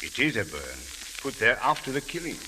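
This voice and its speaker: An actor playing a stern, suspicious police captain, speaking formally and deliberately in old radio drama style. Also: male voice, mid-range voice, very animated, pushed and bright, poor audio. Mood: grave, probing. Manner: formal, deliberate, uncontracted speech. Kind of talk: 1940s-50s radio detective acting. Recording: hissy old radio recording.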